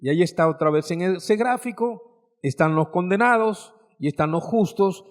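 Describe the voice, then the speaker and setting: A man's voice: sits high, normal speed. A Peruvian man preaching an expository sermon to a congregation, teaching emphatically, posing questions and addressing his listeners as brothers. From Peru, coastal fishing port.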